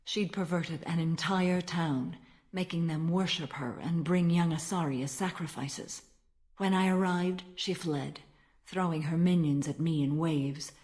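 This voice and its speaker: Low-voiced, austere alien warrior-monk. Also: female voice, fairly even intonation, a little mellow. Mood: solemn recollection. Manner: formal, measured, solemn. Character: stern, ancient female alien warrior-monk, calm and austere. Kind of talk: dramatic video game dialogue.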